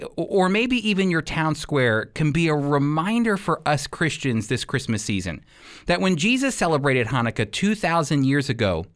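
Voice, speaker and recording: American man preaching with lively, swooping intonation. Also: mid-range voice. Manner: earnest, emphatic, rhetorical questions. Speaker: radio Bible teacher and host. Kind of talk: radio sermon, conversational teaching.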